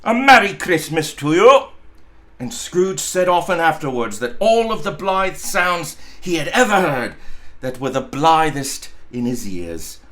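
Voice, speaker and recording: A man reading a classic story aloud as an expressive narrator. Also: on the high side, a little slow.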